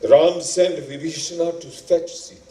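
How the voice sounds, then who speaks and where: A man narrating an epic as a stage actor, dramatic and deliberate, with weighty pauses. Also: on the high side, steady tone, dark tone. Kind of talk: theatrical epic storytelling. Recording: roomy, echoing, distant microphone.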